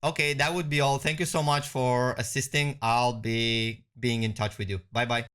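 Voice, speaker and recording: A man giving a conversational software walkthrough as a product demonstrator. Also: medium pitch, flat delivery, moderate pace, clean tone.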